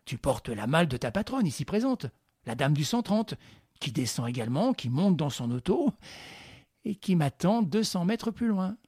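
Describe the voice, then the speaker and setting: A man voicing a cunning gentleman thief who gives orders briskly and with dry irony. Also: a little high, big pitch swings, husky, dry recording, voice pushed up. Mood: commanding. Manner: brisk, commanding, ironic.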